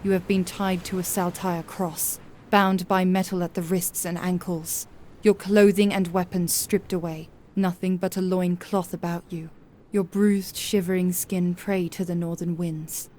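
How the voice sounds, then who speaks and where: A woman narrating a scripted grim fantasy story in a solemn, measured, dramatic storytelling style. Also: lowish voice, level tone, darkish tone.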